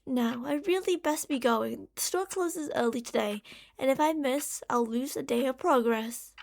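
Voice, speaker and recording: A woman's voice: high-pitched, moderate pace, vocal fry. A woman playing an impatient, obsessive female mad scientist in an ASMR roleplay, theatrical and condescending. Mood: brisk, evasive.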